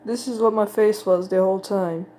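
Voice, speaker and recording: A woman giving casual, unscripted reaction commentary in a halting, exasperated way, swearing freely. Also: medium pitch, fairly even intonation, mellow, a little hiss or hum.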